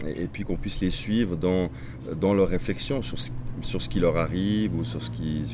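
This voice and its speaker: A man whose first language is Swedish, a crime novelist answering interview questions in a reflective, halting way with many restarts. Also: low voice, background noise.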